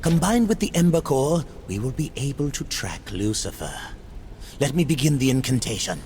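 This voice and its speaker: A man's voice: medium pitch, sing-song, normal speed, lightly acted. Man as a wildly swooping demon merchant. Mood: urgent, imperious. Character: scheming demon merchant, eager and gloating. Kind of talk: video game voice acting. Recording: noisy game soundtrack behind voice.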